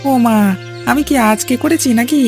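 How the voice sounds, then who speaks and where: A woman playing a sharp-tongued village vegetable farmer in a cartoon, arguing and scolding with emphasis. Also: high voice, normal speed, faint music behind. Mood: defiant, indignant. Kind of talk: Bengali cartoon dialogue.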